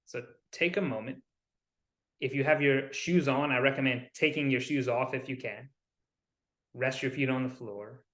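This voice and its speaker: A man leading a guided visualization as a wellness coach, soothing and unhurried, pausing between short phrases. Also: mid-range voice, fairly bright tone. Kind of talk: guided meditation. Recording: roomy, echoing sound.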